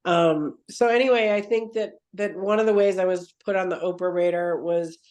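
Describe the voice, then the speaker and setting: A woman's voice: on the low side, normal speed, darkish tone. A woman guest on a podcast, telling her story at length in an earnest, digressive way and often correcting herself mid-sentence. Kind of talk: conversational interview storytelling.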